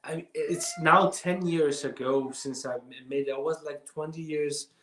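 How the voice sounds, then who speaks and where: A man in his thirties from Iraq, speaking in a halting way with many restarts as a guest filmmaker on a video call. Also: on the high side, fairly even intonation, reverberant, noisy background. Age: 30s. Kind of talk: casual interview over video call. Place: home during lockdown.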